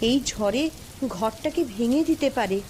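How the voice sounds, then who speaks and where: A woman's voice: on the high side, expressive, moderate pace, darkish tone, a little hiss or hum, natural voice. A woman voicing a frightened village character in a cartoon, with a plaintive, dramatic delivery. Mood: alarmed. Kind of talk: scripted cartoon dialogue.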